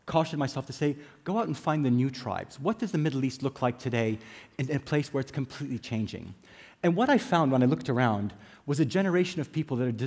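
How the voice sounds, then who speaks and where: A middle-aged man with an American accent speaks in a husky, mid-pitched voice. He gives a prepared conference talk, measured and emphatic, letting lists of points build one after another. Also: darkish tone. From England. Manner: measured, emphatic delivery with dramatic pauses and lists.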